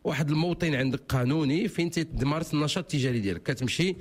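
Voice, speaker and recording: A man with a Moroccan accent giving legal advice to callers on a radio call-in show, fast and insistent. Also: fairly high pitch. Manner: fast, insistent, repeats himself. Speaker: legal expert answering callers.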